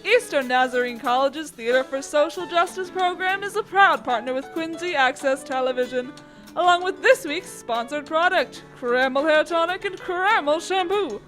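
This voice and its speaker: A woman's voice: high-pitched, normal speed, fairly bright tone, soft background music. A woman playing a courteous, eager radio announcer, formal and polished in a 1940s style. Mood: upbeat, formal.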